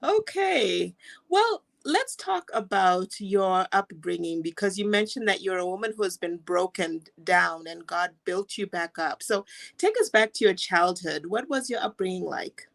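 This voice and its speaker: A woman's voice: mid-range voice, lively intonation, unhurried, creaky. A woman interviewing a guest in a casual conversation, asking long rambling questions. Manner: asks long rambling questions, laughs along.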